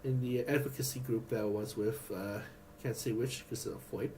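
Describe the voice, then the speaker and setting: A man vlogging in a rambling, halting way, with many restarts and fillers. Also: lowish voice, steady tone, dark tone, slight background noise. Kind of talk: rambling personal vlog.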